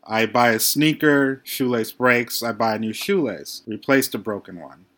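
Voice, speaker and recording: A man's voice: mid-range voice, moderate pace, fairly bright tone. Upbeat young man co-hosting a podcast. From Brooklyn, New York. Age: young adult. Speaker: podcast co-host. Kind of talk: scripted podcast introduction.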